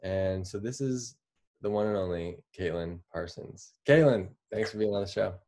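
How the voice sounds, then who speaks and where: A man hosting a podcast, talking in a relaxed, loose way with halting phrasing. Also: on the low side. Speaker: podcast host. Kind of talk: casual interview talk.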